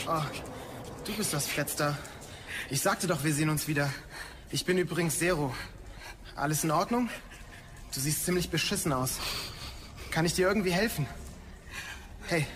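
An actor playing a slick male street hustler in an audio drama, flippant and smooth-talking. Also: sits high, noisy background, close to an everyday voice. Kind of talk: German audio drama acting.

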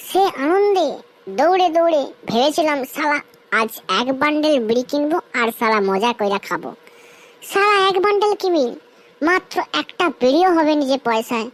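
Woman voicing a whiny, cheeky high-pitched cartoon schoolboy. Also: expressive, normal speed, poor audio. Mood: self-pitying whining. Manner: whining, cursing, colloquial rustic speech. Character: whiny cheeky mischievous schoolboy who craves a smoke. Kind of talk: comic cartoon dialogue.

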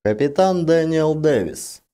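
A man's voice: on the high side, expressive, a little slow, dark tone, pitched above the performer's own voice. A man voicing a courteous, reserved spaceship captain in a science fiction audiobook, formal and restrained.

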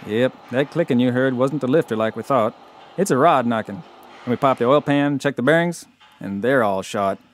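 An actor playing a blunt, folksy car mechanic with a drawn-out delivery in an audio drama. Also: male voice, medium pitch, slight background noise, natural voice. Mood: blunt, regretful. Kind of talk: family audio drama acting.

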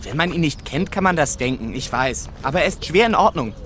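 A man's voice: fairly high pitch, expressive, brisk, fairly bright tone. An actor playing a polite, earnest teenage boy footballer in a children's audio drama.

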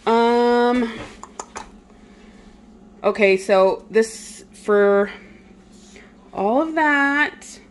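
A woman talks through her groceries in a slow, easygoing way, pausing with plenty of "um"s. She sounds relaxed and chatty, recorded on a phone in her home kitchen with a faint hiss behind her. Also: a little high. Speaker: vlogger. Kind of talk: casual grocery haul narration.

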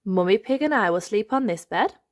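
A woman's voice: mid-range voice, lively intonation, brisk, no echo, studio quality, natural voice. A woman voicing a cheerful, bumbling father pig from a storybook, hesitant and reassuring. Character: cheerful, bumbling daddy pig, adult male.

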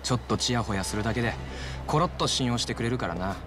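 A man's voice: mid-range voice, lively intonation, fast talker, music in the background, close to an everyday voice. A man voicing a chatty, sly taxi driver and small-time crook in a video game cutscene, with glib, informal speech. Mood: cold, threatening.

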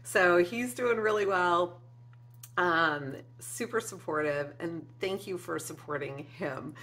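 A woman's voice: fairly low pitch, takes it slowly. A woman in her forties hosting her craft vlog, talking heartfelt and casual to viewers with lots of ums from a room at her desk. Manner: warm and emotional, frequent ums, gestures with hands. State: teary at moments. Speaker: craft channel host. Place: indoor craft room with shelves and flowers.

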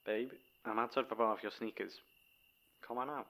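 A man's voice: mid-range voice, steady tone, moderate pace, bright, forceful tone, a little hiss or hum. Man acting as a caring, teasing young boyfriend. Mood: playful, reluctant. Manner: coaxing, breathy sighs, pet names. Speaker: voice actor playing a boyfriend. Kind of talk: ASMR boyfriend roleplay.

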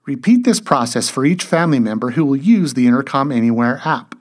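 A man delivers scripted how-to narration in a mid-pitched, husky voice. His intonation moves up and down in a lively way as he takes the listener through instructions in measured steps. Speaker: tutorial narrator.

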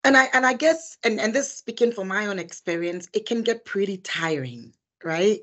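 A woman's voice: medium pitch, animated, normal speed, fairly bright tone. A middle-aged woman interviewing conversationally on a video call, sharing her own experience. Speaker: interviewer, corporate director.

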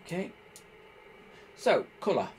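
A man giving an informal hands-on tutorial, explaining step by step in a halting, hesitant way. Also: a little hiss or hum. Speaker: presenter demonstrating radio equipment.

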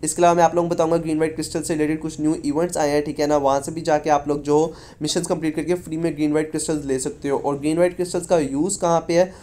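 A man's voice: on the high side, level tone, very fast, few pauses, warm tone. A young man giving a casual, chatty gaming update as a YouTuber in a voice-over.

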